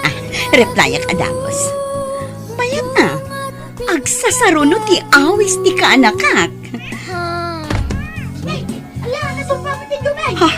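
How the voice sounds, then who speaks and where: An actress playing a greedy, scheming aunt in an audio drama, speaking with an Ilocano Filipino accent in an exclamatory, theatrical way. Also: female voice, extremely high pitch, wildly swooping pitch, measured pace, music in the background, full character voice. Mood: gloating, excited. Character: greedy, scheming aunt exploiting her singing nephew.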